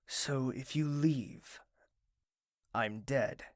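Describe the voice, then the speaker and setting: A man playing a brooding, guarded young prince with a curt, measured delivery in an audio drama. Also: medium pitch, no room sound, studio quality. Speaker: narrator and lead.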